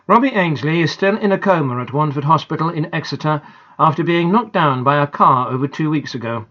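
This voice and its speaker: A reader voicing a newsreader, delivering a report in a measured, formal way. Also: mid-range voice, animated, fairly bright tone, highs cut off, voice pushed down.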